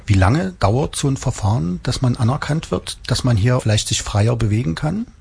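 A man's voice: mid-range voice, expressive, normal speed, gravelly, a little mellow, breathy edge. A man asking questions as a radio interviewer.

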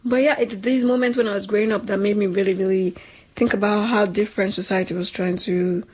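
Husky young woman, animated and slangy. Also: medium pitch, brisk. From Nigeria. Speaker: podcast co-host. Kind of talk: casual chat.

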